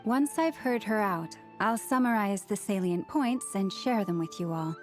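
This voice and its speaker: A woman's voice: mid-range voice, normal speed, darkish tone, lightly acted. A woman playing a composed, earnest, scholarly priestess in a video game, speaking formally and precisely. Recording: music behind the voice. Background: background music.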